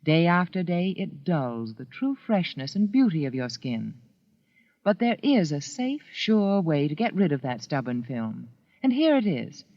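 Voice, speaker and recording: A woman delivering a scripted 1940s radio commercial with deliberate, emphatic phrasing. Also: low voice, sing-song. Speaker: advertising spokeswoman. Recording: old muffled radio recording.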